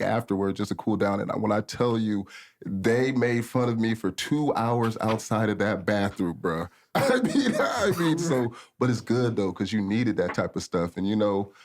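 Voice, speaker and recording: Low-voiced man telling stories casually. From Africa. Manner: relaxed, rambling, lots of fillers. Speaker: podcast guest, studio owner. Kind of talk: casual storytelling chat.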